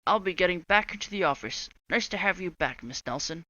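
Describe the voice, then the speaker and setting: A woman's voice: fairly low pitch, expressive, fairly quick, bright, forceful tone, no room sound, dull audio. A woman voicing a fussy, oblivious male substitute teacher in a storybook reading. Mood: prim.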